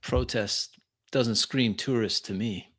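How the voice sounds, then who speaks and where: A man playing a refined, snobbish vampire with measured, disdainful speech in an improvised tabletop roleplay. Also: on the low side, a bit bright. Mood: cool, skeptical. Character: refined, snobbish male vampire who savors quality.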